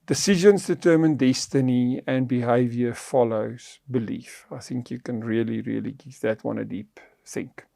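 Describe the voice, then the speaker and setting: Gravelly, mellow man. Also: medium pitch, steady tone, moderate pace, few pauses, dry recording, studio quality. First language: Afrikaans. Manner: earnest, preacherly, teaching tone. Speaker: podcast co-host.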